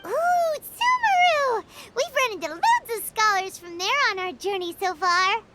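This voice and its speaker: A squeaky tiny fairy sidekick. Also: female voice, big pitch swings, unhurried, heavily acted. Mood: excitable. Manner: talks of herself in the third person. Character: tiny excitable female fairy companion. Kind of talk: game dialogue. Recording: game audio with loud music.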